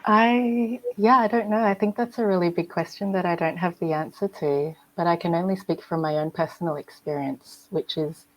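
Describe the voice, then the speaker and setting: A woman from Malaysia speaking as a writer on a festival panel, thoughtful and hesitant, with many pauses and fillers. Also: fairly low pitch, rough recording. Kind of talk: panel discussion.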